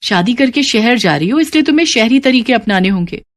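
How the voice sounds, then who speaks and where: A woman voicing a haughty, scheming young city-bred sister-in-law in an animated story, dismissive and sprinkling in English words. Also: fairly high pitch, a little fast, voice pushed up, close to an everyday voice. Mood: smug, persuasive. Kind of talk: animated moral story character dialogue.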